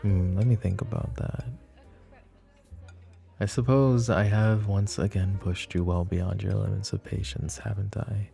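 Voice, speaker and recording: A smug mafia boss, acted by a man in a romantic audio roleplay, with a low, gravelly voice. He is flirty and possessive, teasing suavely and taking his time between phrases. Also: steady tone, dark tone, soft background music. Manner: teasing, suave, unhurried with pauses. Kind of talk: romantic ASMR roleplay acting.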